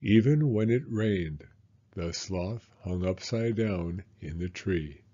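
An older man reading a children's picture book aloud deliberately, with pauses between words. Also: sits low, lively intonation, warm tone, lo-fi sound. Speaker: storyteller.

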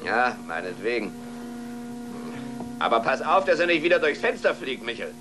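A man's voice: mid-range voice, very animated, normal speed, music in the background, close to an everyday voice. A man playing an exasperated, grumbling middle-aged farmer father in a children's radio play. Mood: skeptical, resigned. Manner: grumbling, weary.

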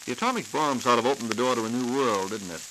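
A man's voice: medium pitch, fast. An American man hosting a scripted 1940s radio program. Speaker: radio host. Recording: hissy 1940s transcription disc.

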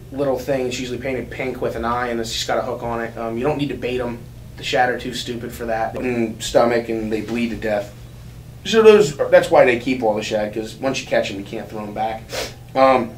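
Young man chatting casually in an echoey room. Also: mid-range voice. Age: 20s. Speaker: interviewee, recreational angler. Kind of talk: casual anecdotal chat. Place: indoors against dark backdrop. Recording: echoey room, faint background noise.

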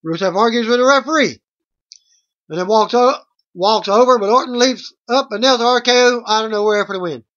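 A middle-aged man reading out a wrestling results recap as host, in a halting way, on a webcam mic at home. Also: very high voice, fairly bright tone. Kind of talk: read-out play-by-play results recap. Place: small room at home, indoors. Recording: dull lo-fi webcam audio.

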